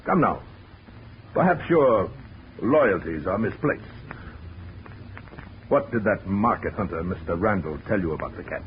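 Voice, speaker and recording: An actor playing a pompous, scheming gentleman big-game hunter in a 1940s radio drama, speaking in florid, formal phrases. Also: low-pitched, expressive, unhurried, pauses often. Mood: smug, persuasive. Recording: muffled noisy 1940s radio recording.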